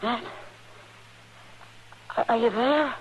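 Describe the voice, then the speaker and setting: A woman's voice: a little high, slow pace, muffled recording. An actress playing a plain-spoken frontier woman with an American accent in an old radio western. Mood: dazed, weak. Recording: faintly noisy old radio recording.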